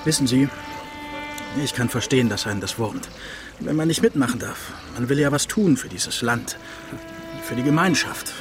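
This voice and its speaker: Radio drama policeman, male actor: medium pitch, modest, casual, conciliatory, natural delivery over music. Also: normal speed, close to an everyday voice. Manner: casual, understated.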